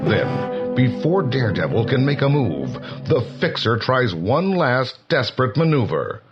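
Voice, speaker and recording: A man's voice: medium pitch, sing-song, unhurried, dull audio. A man reading dramatic scripted narration for a comic-book story. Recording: loud music under the voice.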